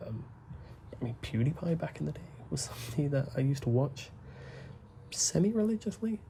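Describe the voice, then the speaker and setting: Soft-spoken young man, gravelly. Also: fairly high pitch, very animated, slight background noise. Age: young adult. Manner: soft, deliberately hushed talk with frequent fillers. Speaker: gaming YouTuber. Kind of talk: rambling gameplay commentary.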